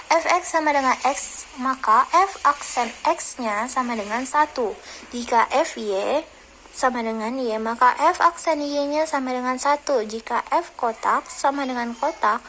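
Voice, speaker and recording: A young woman, a university student, presenting a calculus lesson by reading each formula aloud in a steady, step-by-step way. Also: high voice, a little slow, slight background noise, rough recording. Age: young adult. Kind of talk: reading a math explanation.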